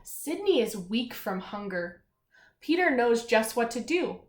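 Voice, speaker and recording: Echoey, higher-voiced woman teacher reading aloud. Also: big pitch swings, unhurried. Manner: encouraging, explains simply, prompts listeners. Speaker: elementary school teacher. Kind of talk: read-aloud to young children with asides and questions. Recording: echoey room, faint background noise.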